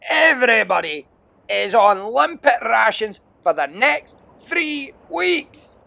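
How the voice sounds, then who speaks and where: A man voicing a loud, overbearing Viking drill instructor, bellowing and pompous with heavy sarcasm, in an audiobook. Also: very high-pitched, very animated, slow pace, creaky, voice pushed up, full character voice. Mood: barking threats.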